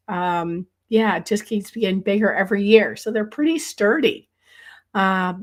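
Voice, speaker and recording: A middle-aged woman with a chest cold, hoarse, teaching a live-streamed nature sketching lesson from a room at home, rambling with many ums and uhs. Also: medium pitch.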